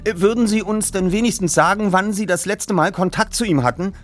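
Man acting an excitable, higher-pitched boy detective. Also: a little fast, soft background music. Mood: pleading. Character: eager, earnest, excitable teenage boy detective.

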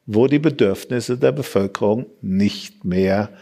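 A man's voice: fairly low pitch, a little slow, vocal fry, warm tone. A man explaining his view as an expert guest in a debate, with emphatic, punchy statements. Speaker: economic expert, panel guest.

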